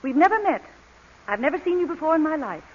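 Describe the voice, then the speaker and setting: An actress playing a poised, witty American widow with a crisp, composed manner in old-time radio drama style. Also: female voice, high voice, big pitch swings, fast, big-room sound. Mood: icy, indignant. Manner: crisp, haughty, composed rebuffs. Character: poised, cool American widow with sharp wit. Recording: dull, lightly noisy vintage radio recording.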